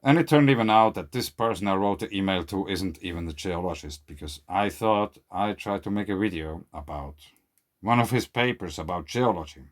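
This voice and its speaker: A man whose first language is German, speaking English in an earnest, questioning monologue to camera, often trailing off quietly. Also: sits low, normal speed. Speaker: video creator. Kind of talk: casual monologue to camera, reading out an email.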